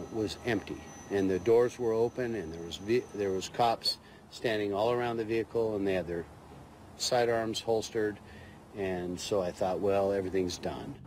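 A middle-aged man giving a casual, halting eyewitness account outdoors, often restarting his sentences. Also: lowish voice, a little mellow, slight background noise. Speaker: interviewed witness.

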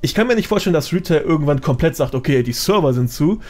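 A man's voice: fairly high pitch, lively intonation, quick pace, a little mellow. A man giving his opinions as a guest in a casual podcast chat. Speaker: podcast guest, gaming content creator.

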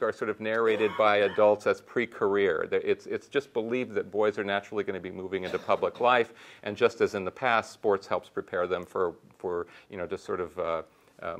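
A man's voice: on the low side, moderate pace, a little hiss or hum. A man in his sixties giving a conference talk from a lecture room on a lapel mic, telling stories with many restarts and fillers. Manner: animated storytelling with many restarts and fillers, gestures while talking. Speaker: sociology researcher on a conference panel. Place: lecture room in front of a projection screen.

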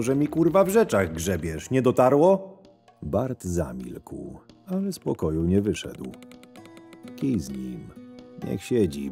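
Man as a low-voiced, sardonic convict guard. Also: mellow, heavily acted. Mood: irritated. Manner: sardonic, gruff, swearing. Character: curt, irritable male convict guard narrating his story. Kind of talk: first-person audio drama narration with dialogue.

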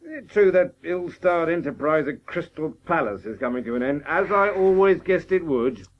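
Sceptical, grumbling older brother, higher-pitched. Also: male voice, expressive, moderate pace. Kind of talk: radio drama acting. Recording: slightly dull radio tape.

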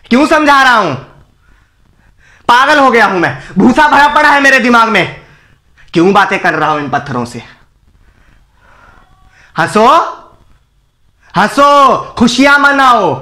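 A man playing a defiant condemned man who bitterly berates an apathetic crowd in a theatrical, scornful film-style monologue with emphatic pauses. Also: high voice, animated, bright, forceful tone. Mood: frustrated, bitter mockery. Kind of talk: dramatic Hindi film monologue recital.

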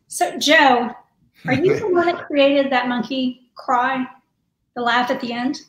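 A woman's voice: high-pitched, slow pace, fairly bright tone, slight background noise. A woman in her forties co-hosting a casual video chat from home, chatty and laughing things off. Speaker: co-host. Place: home room with tiled wall. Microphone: video call webcam. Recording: echoey home room.

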